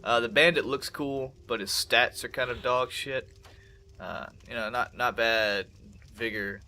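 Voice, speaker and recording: A man's voice: medium pitch, fairly even intonation, a little slow, sharp tone, soft background music. Stuffy-nosed, foul-mouthed gamer man. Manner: profane, joking, talks back to the game. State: congested. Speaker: gameplay commentator. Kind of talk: casual let's-play commentary.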